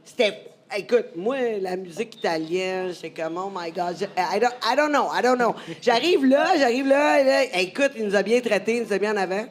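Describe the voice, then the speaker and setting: A woman's voice: mid-range voice, normal speed. A woman with a Québécois accent hosts a loose, laughing talk show in a mid-range voice. She tells stories with animation and drops English phrases mid-sentence. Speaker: show host. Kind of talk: casual chat.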